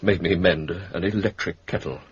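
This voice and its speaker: An actor with an English accent playing an older, brisk, pompous and secretive senior government official in a radio drama. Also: male voice, low voice, steady tone, husky, dry recording, dull audio. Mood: brusque. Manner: clipped, self-important, harrumphing. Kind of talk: British radio drama acting.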